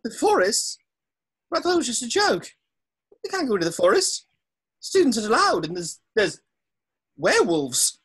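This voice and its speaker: A woman playing a spoiled, sneering, cowardly schoolboy bully with a husky voice in an amateur table read. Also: a little high, huge pitch swings, moderate pace, long pauses, heavily acted. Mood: alarmed.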